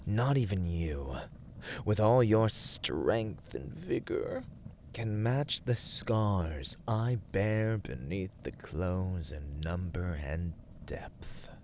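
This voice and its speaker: A man playing an imperious, teasing horned warlord villain in an ASMR roleplay, grandiose and commanding, often dropping toward breathy whispers. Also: low voice, expressive, drawn-out words, a little mellow, slight background noise, full character voice. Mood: boastful.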